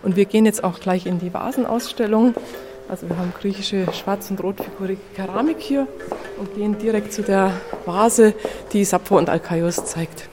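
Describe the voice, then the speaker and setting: A woman's voice: medium pitch, moderate pace, over music. A woman speaking as a museum curator, explaining things off the cuff as a guide would. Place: indoors in a museum exhibition room. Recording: long reverberant exhibition hall.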